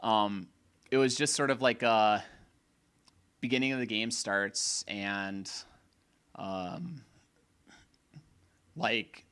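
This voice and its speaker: Slightly hoarse man rambling through a review. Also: fairly low pitch, drawn-out words, long pauses, a bit bright. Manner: opinionated, rambling reviewer with restarts. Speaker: podcast co-host. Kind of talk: casual gaming podcast chat.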